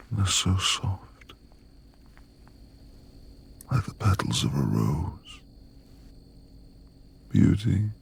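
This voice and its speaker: A man playing a reclusive, brooding lord of a manor in an intimate ASMR romance roleplay, speaking formally and haltingly in a gravelly, husky, hushed voice. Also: low voice, slight background noise, exaggerated voice. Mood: tender, aroused. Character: reclusive brooding male manor lord, tender beneath gruff exterior.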